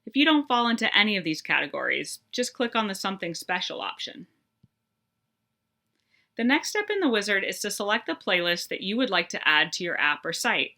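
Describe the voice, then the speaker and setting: A woman presenting a scripted tutorial in a clear, upbeat, instructional manner. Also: medium pitch, sing-song, a little fast, bright, forceful tone.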